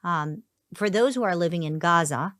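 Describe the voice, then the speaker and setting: Woman interviewer, low voice, measured and pointed, dry close sound. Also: expressive, a bit bright, no room sound. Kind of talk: sit-down interview.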